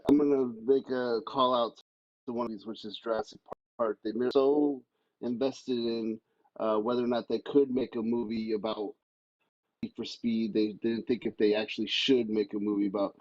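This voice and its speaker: A man on a podcast panel chatting casually in a blunt, deadpan way with some swearing, in dull lo-fi sound. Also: mid-range voice, moderate pace, dry recording. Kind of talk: casual chat.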